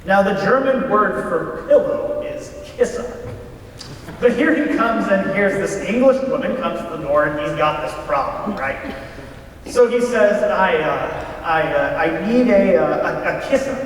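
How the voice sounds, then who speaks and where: Casting: chatty folksy male storyteller actor, high voice, conversational, heard in a long-reverb hall. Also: expressive, measured pace. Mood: amused. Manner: conversational, checks with listeners. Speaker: storyteller in a readers theater. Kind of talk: staged anecdote telling. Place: large hall, live stage performance. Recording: very echoey hall, distant mic, faint noise.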